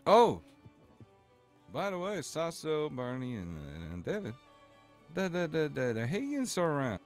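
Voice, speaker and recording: A man's voice: on the high side, big pitch swings, measured pace, soft background music. A man streaming a roleplay game, chatting in character in a boastful, joking way and swearing often.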